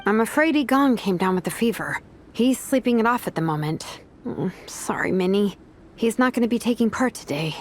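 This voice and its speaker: A woman's voice: on the high side, lively intonation, moderate pace, slight background noise, close to an everyday voice. A woman playing a practical, caring young team captain in a video game, matter-of-fact and a little wry. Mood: apologetic. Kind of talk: English video game voice acting.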